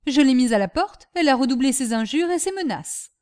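Woman playing an exasperated, high-pitched master of the house. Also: lively intonation, fairly quick, a little mellow. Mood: indignant. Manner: scolding, emphatic, sarcastic. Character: exasperated, mocking middle-aged master of the household.

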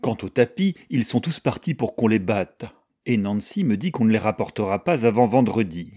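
A man's voice: fairly low pitch, expressive, moderate pace, mellow. A man voicing a fussy married woman in a novel, plaintive and lamenting, with emphatic complaints.

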